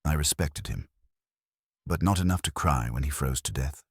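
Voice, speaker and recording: Man reading as a cool, guarded professional woman, very deep. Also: moderate pace, slightly rough, no room sound, clean studio sound, exaggerated voice. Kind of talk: detective audiobook character dialogue.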